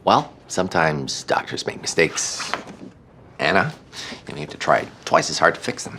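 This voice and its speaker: Calm, doubting medical-drama doctor: gravelly, forceful edge, measured delivery, noisy playback. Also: male voice, sits low, full character voice. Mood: dry, probing. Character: calm skeptical male doctor questioning a patient. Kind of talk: television medical drama acting.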